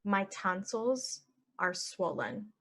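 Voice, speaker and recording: A woman teaching English phrases, saying each one and then repeating it slowly and clearly for learners. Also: mid-range voice, big pitch swings. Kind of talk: slow phrase-by-phrase language lesson.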